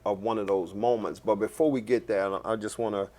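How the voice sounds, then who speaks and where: A middle-aged man, a pastor hosting a casual discussion, talking in an animated way and restarting his phrases often. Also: fairly low pitch, fairly quick. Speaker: host and pastor.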